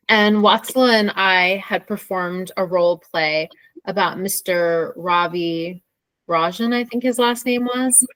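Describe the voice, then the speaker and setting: A woman's voice: mid-range voice, a bit bright, no room sound, low-quality recording. An American woman co-hosting an informal English lesson in a conversational teaching style. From Pennsylvania, USA.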